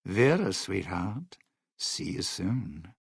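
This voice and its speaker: An actor playing a sly, world-weary lounge singer in a video game, talking in wry showman's patter and dated slang with a gravelly, husky voice. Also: deep voice, big pitch swings, slow, no echo, studio quality, exaggerated voice. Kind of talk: video game companion voice lines.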